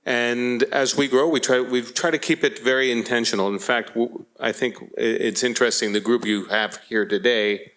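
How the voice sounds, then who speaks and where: A middle-aged American man speaking as a guest on a panel, choosing his words deliberately with halting phrasing. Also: mid-range voice, steady tone, a bit bright. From Florida, United States. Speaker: panel guest, company executive. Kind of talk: panel discussion, explanatory.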